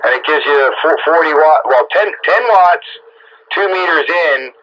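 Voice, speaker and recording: A man's voice: a little high, measured pace, a bit bright. A man having a casual chat as a radio operator, heard over a muffled two-way radio transmission. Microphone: radio set microphone heard over air.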